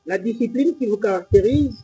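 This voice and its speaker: A man's voice: high voice, brisk, dark tone, breathy edge, low-quality recording. A male TV host, asking pressing, insistent questions. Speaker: TV host, interviewer. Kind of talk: lively interview questions.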